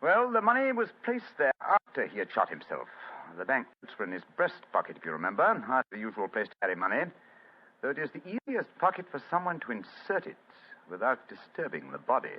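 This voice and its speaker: An actor playing a shrewd gentleman detective, brisk and authoritative, in vintage radio drama style. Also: medium pitch, wildly swooping pitch, husky. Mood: confident. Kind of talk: 1940s radio acting. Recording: muffled old radio recording.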